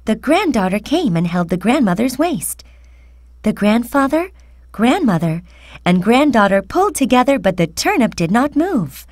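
A woman narrating a children's fairy tale, speaking carefully and deliberately as a storyteller. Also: fairly high pitch, sing-song, darkish tone, no echo, clean studio sound. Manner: slow, careful, deliberate enunciation.

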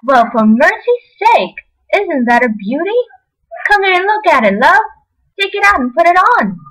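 A woman's voice: very high voice, sing-song, moderate pace, fairly bright tone, heavily acted, low-quality recording. A woman playing a doting, fussy motherly figure in an amateur radio play, effusive with homely exclamations. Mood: amazed, delighted. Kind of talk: old-time radio play acting by an amateur.

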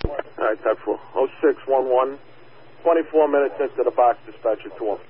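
A man's voice: mid-range voice, brisk. Man dispatching over a narrow, noisy radio. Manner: procedural, clipped acknowledgements. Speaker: fire department radio dispatcher. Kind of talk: terse radio dispatch talk. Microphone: two-way radio system. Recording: noisy, phone-like emergency radio dispatch audio.

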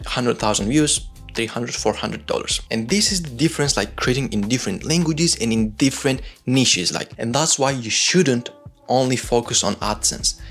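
A man with a Turkish accent, a content creator explaining things in a loose, chatty way with lots of filler words. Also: medium pitch. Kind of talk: casual talking-head explainer.

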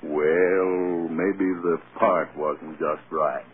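An actor playing a patient, tactful male film producer, measured and frank, in a vintage radio drama. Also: very deep voice, fairly even intonation, full character voice. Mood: reluctant, frank. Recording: scratchy, tinny old radio broadcast.

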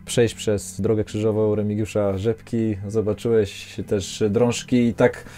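Man, 30s, host, reflective, talkative, dark timbre, small studio. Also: on the low side, little pitch movement, measured pace, slight background noise. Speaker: podcast host. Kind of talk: casual interview chat. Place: small studio with brick wall.

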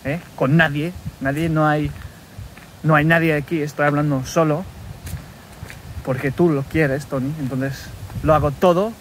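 Slow, halting Englishman walking outdoors. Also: a little high, fairly even intonation, slight background noise, rough recording. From England. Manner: halting, self-correcting, searching for words. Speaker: language learner recording a practice podcast. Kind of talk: casual spoken practice monologue. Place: wooded countryside path outdoors. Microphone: phone held at arm's length while walking.

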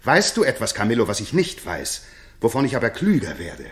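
Indignant king, solemn, theatrical, medium pitch, very lively intonation, vintage radio play. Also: a little fast. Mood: urgent, probing. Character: shocked noble king of Bohemia, slandered friend, indignant. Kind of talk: 1960s German radio drama acting.

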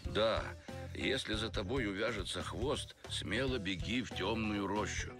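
A man voicing a gruff talking bear, a stern boss with a terse, commanding, dry way of speaking in an animated cartoon. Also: on the low side, measured pace, music in the background, close to an everyday voice. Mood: dry, instructing. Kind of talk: animated noir film acting.

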